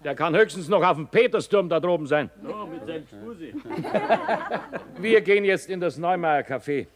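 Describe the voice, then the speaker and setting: An actor playing a brisk team leader giving formal instructions to his crew in a radio play. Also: fairly high pitch, crowd noise, close to an everyday voice. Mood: brisk, instructing. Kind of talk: radio drama dialogue.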